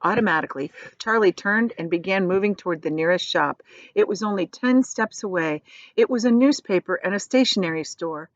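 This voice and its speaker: A woman reads a children's story aloud, expressively, with deliberate, dramatic emphasis on key words. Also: mid-range voice, no echo, highs cut off. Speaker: teacher reading aloud.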